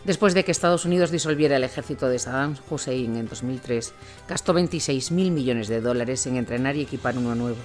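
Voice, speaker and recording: A man's voice: mid-range voice, quick pace. Spanish man narrating over music. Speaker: narrator of an audio drama. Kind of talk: scripted documentary war narration. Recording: music bed under the voice.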